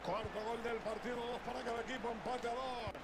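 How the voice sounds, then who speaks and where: A peppy game match commentator. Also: male voice, extremely high pitch, fairly quick. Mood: upbeat. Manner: lively broadcast delivery. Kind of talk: video game play-by-play commentary. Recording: game audio under a stream with loud noise.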